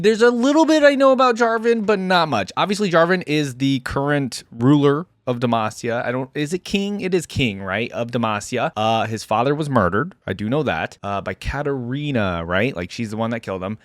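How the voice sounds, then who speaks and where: A man's voice: medium pitch, no room sound, clean studio sound. A man hosting a reaction video, casually commenting and reading text aloud in an informal way. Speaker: YouTube reaction host.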